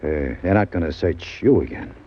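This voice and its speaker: A man playing a big, shifty pool parlor owner of about forty in a radio crime drama, with a husky voice and an urgent, insistent manner. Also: deep voice, lively intonation, normal speed. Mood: uneasy, furtive. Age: middle-aged. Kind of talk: old-time radio crime drama acting. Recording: dull vintage radio recording.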